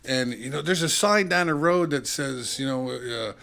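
A man's voice: mid-range voice, moderate pace, gravelly. A man chatting casually as a podcast guest, telling rambling anecdotes and acting out the dialogue as he goes. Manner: rambling, animated retelling with quoted dialogue.

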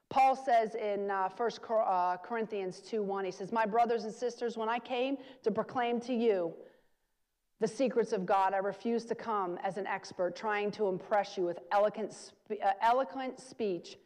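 A woman preacher giving a sermon, urging her listeners and repeating key phrases for emphasis. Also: on the high side. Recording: long hall reverb.

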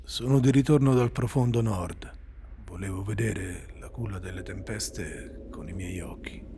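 An actor playing a brooding male warlock swordsman in an Italian audio drama, speaking low and guarded. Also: measured pace, dark tone, a little hiss or hum. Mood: haunted.

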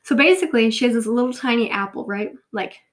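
A woman's voice: a little high, expressive, fast talker. A young woman in her twenties with a cold, talking casually as host of her own show, her voice congested and sniffly, rambling and joking at her own expense. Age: 20s. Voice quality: nasal, sniffly. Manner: rambling, self-deprecating, giggly. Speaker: podcast host. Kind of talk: casual solo chat.

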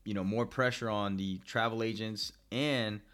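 A man with a low voice co-hosts an easygoing tech podcast. He talks straight to the listeners in a chatty way and likes to tease his partner. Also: steady tone, normal speed, fairly bright tone. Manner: chatty and teasing, addresses listeners directly. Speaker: podcast co-host. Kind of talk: casual tech news podcast chat.

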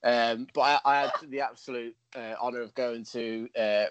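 A man's voice: medium pitch, steady tone, fairly bright tone, lo-fi sound, slight background noise. A man and professional wrestler in a casual interview, with frequent stammered restarts. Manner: stammering restarts, quick laughs.

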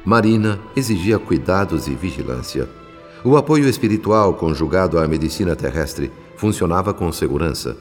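An actor with a Brazilian accent playing a solemn spirit guardian who narrates a radio drama in formal, measured, literary diction. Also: male voice, sits low. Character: solemn male spirit guardian recounting a family's troubles. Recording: long reverb, music bed behind. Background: music.

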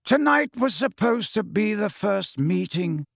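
Man voicing a serene old headmaster, lively intonation. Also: high-pitched, gravelly, no room sound, clean studio sound, pitched above the performer's own voice, heavily acted. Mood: pleasant, amused. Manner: courteous, measured, gently ironic. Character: calm, wise old headmaster, genially unflappable and playful. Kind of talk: audiobook character voice.

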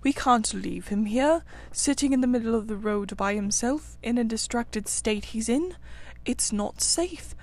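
A woman voicing a gentle, anxious male mole who pleads and worries. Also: on the high side, animated, unhurried.

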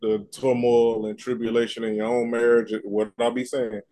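A man co-hosting a casual gossip podcast, animated and profane, cracking jokes as he talks. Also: mid-range voice, normal speed. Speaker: podcast co-host.

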